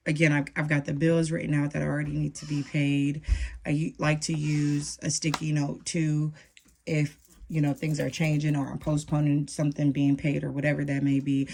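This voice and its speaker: American woman in her 30s, casual vlog chat, deep for a woman, lots of fry, real and conversational. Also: darkish tone, slight background noise. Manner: candid, conversational, often checks in with "right?". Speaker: budgeting vlogger. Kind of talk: casual, personal talk to camera. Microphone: phone recording.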